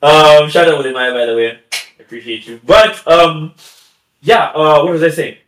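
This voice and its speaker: A man with a fairly high voice talks as a podcast guest in a loose, chatty way. He laughs often and drifts into asides before getting back on track. The room around him is echoey. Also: normal speed, fairly bright tone. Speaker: guest YouTuber. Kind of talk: casual podcast conversation.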